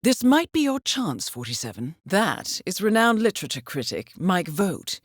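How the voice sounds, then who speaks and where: A cool, poised female mission handler from a stealth video game. She delivers briefings in a formal, measured way with dry understatement. Her intonation moves expressively, and the recording is close and dry. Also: mid-range voice, sing-song, no room sound. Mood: composed, dry.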